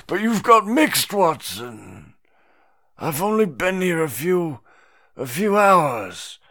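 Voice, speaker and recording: A man's voice: high voice, a bit bright, pitched above the performer's own voice, exaggerated voice. A man voicing a haggard, confused man who whines and quavers through tears. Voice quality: whiny, quavering.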